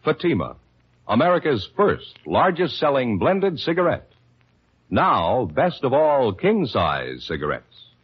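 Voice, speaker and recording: A man announcing a scripted 1950s radio advertisement as an emphatic sales pitch. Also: huge pitch swings, unhurried, slightly rough. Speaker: commercial announcer. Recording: dull old radio recording.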